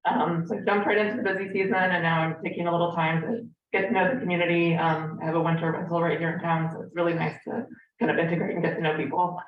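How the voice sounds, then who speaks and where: Hoarse woman presenting at a town meeting. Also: lowish voice, flat delivery, quick pace. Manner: many filler ums, soft-spoken. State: hoarse from a cold. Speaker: library director. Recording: distant roomy lo-fi meeting recording.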